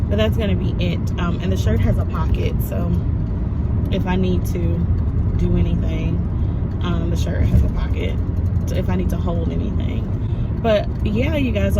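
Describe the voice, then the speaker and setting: A woman in her thirties vlogging casually outdoors by her car, a little raspy after coughing, rambling with many fillers. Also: lowish voice. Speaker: nursing student vlogger. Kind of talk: casual vlog chat. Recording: loud outdoor traffic noise.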